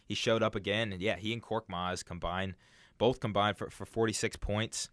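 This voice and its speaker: A young man talks sports casually as a radio co-host. His voice is fairly low and is recorded close and dry. He speaks haltingly, doubling back on words as he goes. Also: fairly even intonation, a bit bright, no room sound. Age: young adult.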